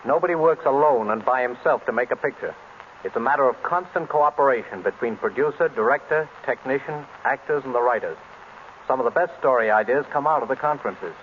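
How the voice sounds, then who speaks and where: A man's voice: medium pitch, brisk. American man on tinny old radio. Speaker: screenwriter guest. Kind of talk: scripted radio interview with sales pitch. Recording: tinny, noisy 1930s radio broadcast.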